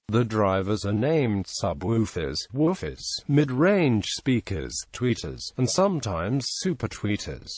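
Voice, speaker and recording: Man reading encyclopedic narration, measured and impersonal. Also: mid-range voice, a little mellow, no room sound. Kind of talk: scripted narration of an encyclopedia article.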